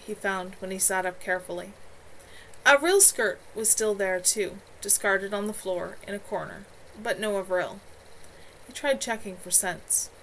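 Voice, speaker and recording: A woman reading her own novel aloud in an expressive amateur storytelling style. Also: mid-range voice. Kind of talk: live amateur audiobook-style narration. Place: at home. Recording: clearly noisy home livestream recording.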